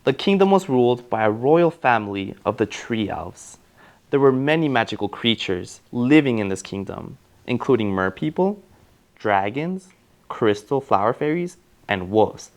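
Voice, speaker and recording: A man playing an eager, encouraging schoolteacher who reads fairy tales aloud to children in an animated, coaxing way. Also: medium pitch, normal speed, natural voice. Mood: storytelling. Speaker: teacher and storyteller. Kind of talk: children's read-aloud storytelling.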